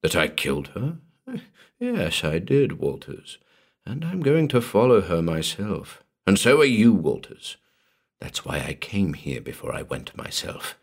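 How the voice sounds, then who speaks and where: Guy doing a soft creepy murderer for a story, deep husky voice, slow taunting menace, real quiet. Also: very animated, exaggerated voice. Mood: cold, murderous calm. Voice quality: low, husky, hushed. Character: softly menacing, vengeful murderous man. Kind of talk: dramatic audiobook character reading.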